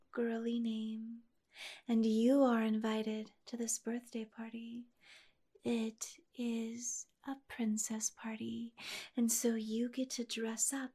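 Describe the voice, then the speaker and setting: A woman leads a guided meditation in a soft, mellow voice. Her delivery is very slow and even, with little melodic movement. Phrases trail off gently into breathy hush, like a soothing bedtime storyteller. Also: a little high.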